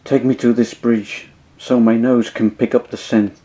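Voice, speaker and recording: A man voicing a blunt, gruff male tracker who travels with his dog, in a told story. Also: medium pitch, flat delivery, measured pace, mellow, pitched below the performer's own voice, natural voice.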